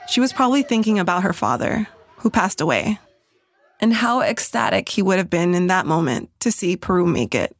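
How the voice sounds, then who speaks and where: A woman's voice: medium pitch, dry recording, clean studio sound. An American woman giving a scripted personal narration as a radio reporter. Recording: loud music underneath. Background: music.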